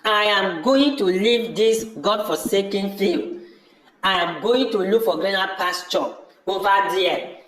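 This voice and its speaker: A man's voice: high-pitched, fairly bright tone. A man with a Nigerian accent playing a stubborn, fed-up village brother in an amateur audio drama, dismissive and insistently repeating himself. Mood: defiant. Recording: echoey room.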